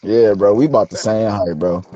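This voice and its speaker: A young man, a rapper being interviewed, telling stories in a relaxed, slangy way. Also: on the low side, normal speed, muffled recording. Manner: laid-back, slangy storytelling. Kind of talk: casual interview chat.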